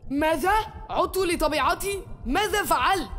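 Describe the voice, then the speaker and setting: A woman voicing a cocky, excitable ten-year-old boy hero in a cartoon, with a brash manner. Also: very high voice, moderate pace, over music. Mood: startled. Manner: brash, exclamatory. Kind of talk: dubbed cartoon acting.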